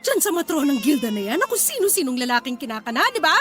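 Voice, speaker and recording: Wronged young mother, radio drama: high, animated, taunting, fierce. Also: quick pace. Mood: furious, anguished. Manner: taunting, accusatory. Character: wronged young wife and mother, fiery, sarcastic, confrontational.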